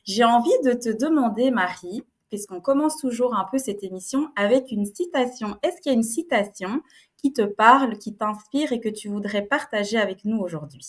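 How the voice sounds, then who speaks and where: A woman's voice: fairly high pitch, animated, moderate pace. A woman hosting a podcast interview, asking questions in an encouraging, admiring way. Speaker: podcast host.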